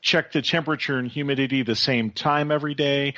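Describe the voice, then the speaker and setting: A male podcast interviewer with a medium-pitched voice asks questions in a conversational style. The recording is a touch muffled and lo-fi. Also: fairly even intonation, a little fast, bright, forceful tone. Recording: slightly dull, lo-fi audio.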